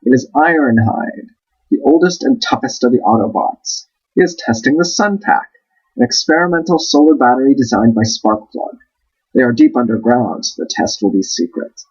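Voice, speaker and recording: A man's voice: mid-range voice, darkish tone, husky, muffled recording. A man reading a storybook aloud as host, with wry, playful asides.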